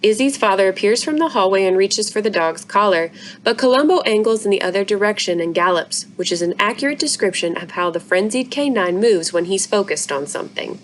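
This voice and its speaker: A woman's voice: medium pitch, lively intonation, fairly quick, slight background noise. A woman reading a children's book aloud expressively, as a story-time narrator. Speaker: library story-time reader.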